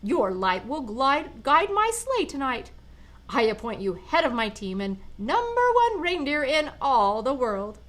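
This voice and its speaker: An older woman voicing a jolly, cheerful old Santa Claus who laughs with a booming ho-ho, in a children's storybook reading. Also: very animated, unhurried, fairly bright tone, full character voice. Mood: pleased.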